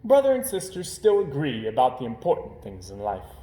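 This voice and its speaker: A man reading a children's story aloud as storyteller, with expressive narration. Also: medium pitch, normal speed. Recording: roomy, echoing home recording.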